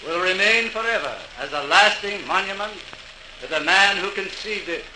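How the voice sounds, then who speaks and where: An actor playing a solemn orator in 1940s radio style, formal and ceremonial. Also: male voice, high-pitched, natural voice. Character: solemn male orator at a public dedication. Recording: echoey, noisy old radio transcription.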